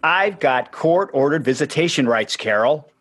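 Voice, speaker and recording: An actor playing an aggrieved, sentimental ex-husband and sports fan in a radio play, speaking plaintively. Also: male voice, on the high side, expressive, normal speed, fairly bright tone. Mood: indignant.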